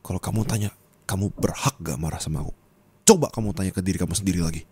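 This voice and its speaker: A young man voicing an angry, heartbroken young man who scolds his partner, swinging from shouting to low bitter muttering, in an ASMR roleplay. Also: fast, slightly rough, dry recording. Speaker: roleplay voice actor.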